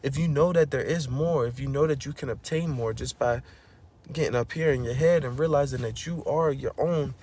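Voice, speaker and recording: Dude preaching motivation at the camera, slangy, keeps saying bro, kinda high voice, goes loud when hyped. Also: male voice, slight background noise. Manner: slangy, preachy, repeats "bro" and "you know what I'm saying". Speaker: vlogger. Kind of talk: casual motivational monologue.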